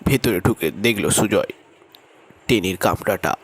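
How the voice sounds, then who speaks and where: A man narrating a horror story in a measured storytelling manner. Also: slightly rough. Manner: measured storytelling, raises his pitch for the young hero's lines.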